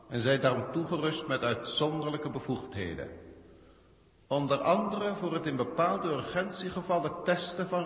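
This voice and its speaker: An actor playing a cold, haughty alien proctor in a 1960s science-fiction radio drama, speaking formally and condescendingly. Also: male voice, a little high, fairly bright tone. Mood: lofty, explanatory. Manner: formal, pompous, condescending, archaic wording. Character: haughty alien proctor, cold galactic official testing Earth. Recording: echoey hall-like reverb, 1960s radio.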